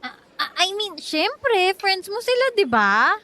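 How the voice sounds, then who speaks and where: A woman's voice: extremely high pitch, sing-song, slow, sharp tone, exaggerated voice. A woman playing an inquisitive, chummy young friend in a radio drama, with a Filipino accent. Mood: curious, concerned.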